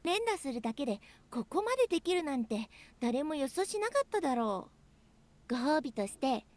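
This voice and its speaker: A woman's voice: high voice, sing-song, very fast, darkish tone. A woman voicing a young female character in a video game cutscene. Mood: playful.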